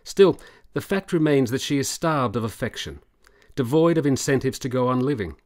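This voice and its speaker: An actor playing an earnest, persuasive military doctor in a period radio drama, formal and deliberate. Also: male voice, mid-range voice, lively intonation, a little mellow, natural voice. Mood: earnest, persuading. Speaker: supporting actor.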